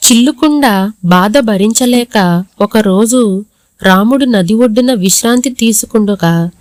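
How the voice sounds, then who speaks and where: A woman's voice: medium pitch, measured pace, darkish tone, slight background noise. A woman reading scripted narration for a moral story as its storyteller.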